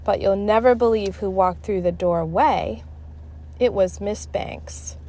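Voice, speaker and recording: A woman narrating a children's book aloud in an expressive, dramatic, deliberate style. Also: medium pitch. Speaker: storybook reader.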